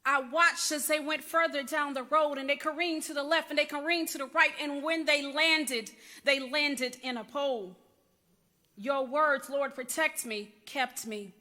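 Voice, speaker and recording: A woman's voice: high-pitched, fairly even intonation, measured pace, bright, forceful tone, voice pushed up. A woman performing a dramatic church monologue as a rebellious young niece confessing to her aunt, in an emphatic, repetitive storytelling cadence. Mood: intense, haunted. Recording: echoey hall with long reverb.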